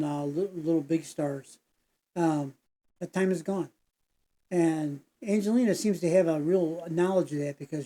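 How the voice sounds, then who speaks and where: A man's voice: on the high side, warm tone. A man hosting a reaction video, talking in a casual, folksy way that wanders off into tangents and back, with lots of fillers. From United States. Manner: meandering, folksy, digressive with many fillers. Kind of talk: casual rambling commentary.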